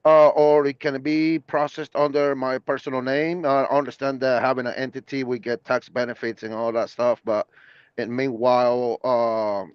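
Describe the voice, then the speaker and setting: A man from Puerto Rico whose first language is Portuguese, asking questions hesitantly with many pauses and restarts. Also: mid-range voice, level tone, smooth, dry recording, highs cut off.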